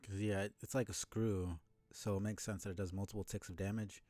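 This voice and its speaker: A man with a low voice giving casual, informal live commentary as a video game streamer, thinking out loud. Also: flat delivery, fairly quick, no room sound. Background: game music behind the voice.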